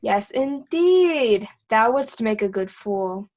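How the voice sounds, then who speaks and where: Court fool, boyish and cheeky, lightly high voice, teasing riddler in classical theatre female actor. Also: lively intonation, slow, darkish tone. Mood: wry. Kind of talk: Shakespearean reader's theatre acting.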